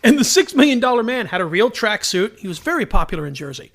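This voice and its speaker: A man's voice: high voice, very animated, quick pace. A middle-aged man hosting a podcast, chatting casually with jokes and digressions. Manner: joking, digressive. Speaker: podcast host. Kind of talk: casual chat.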